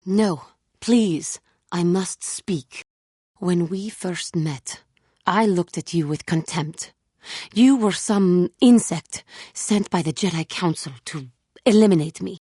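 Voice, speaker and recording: A brooding female alien warrior from a video game, with a low, husky voice recorded close and dry. She speaks in solemn, formal, measured phrases, earnest and full of self-doubt. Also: dark tone, no echo. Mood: seething, intense. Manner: formal, solemn, measured speech without contractions. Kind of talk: video game character acting.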